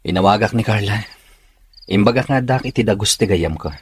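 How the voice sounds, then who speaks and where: A man acts out an earnest young suitor in a radio drama. His voice is fairly low and insistent, over faint background noise. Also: fairly quick, close to an everyday voice. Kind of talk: Ilocano radio drama acting.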